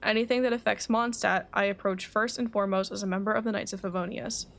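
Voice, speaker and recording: Composed scholarly alchemist read out by a woman, mid-pitched. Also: steady tone, fast talker, creaky, lightly acted. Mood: calm, reflective. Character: composed, cerebral alchemist, an artificial human. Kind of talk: reading game dialogue aloud. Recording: faint background noise.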